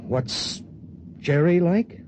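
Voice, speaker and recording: An actor playing a grim, weary spaceship pilot in a 1950s radio drama, speaking and narrating in a terse, hard-boiled, measured way. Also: male voice, a little high, expressive, darkish tone, a little hiss or hum. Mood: curt, subdued. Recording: muffled 1950s radio recording, music behind.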